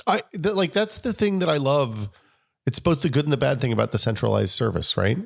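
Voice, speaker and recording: A man's voice: medium pitch, lively intonation, a little fast, gravelly. A man co-hosting a casual tech podcast, sounding scratchy from a summer cold, rambling with many restarts and fillers. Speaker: podcast co-host.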